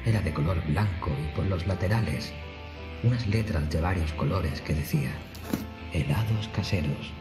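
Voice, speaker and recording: A man's voice: low-pitched, moderate pace, over music. A man narrating a scripted first-person horror story as a storyteller.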